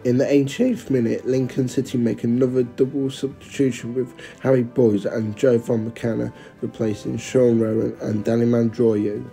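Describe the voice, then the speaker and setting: A man's voice: mid-range voice, flat delivery, normal speed, vocal fry, hardly any pauses, music in the background. A man narrating his match vlog in a plain, matter-of-fact voice-over, reading out events and results. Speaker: vlogger.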